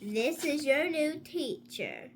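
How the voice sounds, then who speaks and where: A woman's voice: high-pitched, expressive, slow, voice pushed up. A woman voicing a flustered, fussy man at a school in a children's storybook, drawing out the words. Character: flustered school man handing over unruly class.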